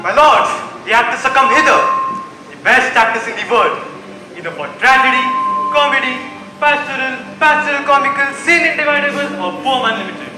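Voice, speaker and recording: A man's voice: very high-pitched, animated, a little fast. A young man playing a fawning, long-winded old royal counsellor with a pompous, declamatory stage delivery. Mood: grandly announcing. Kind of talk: theatrical Shakespearean stage acting. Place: school stage performance in a hall. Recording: very echoey stage, music behind.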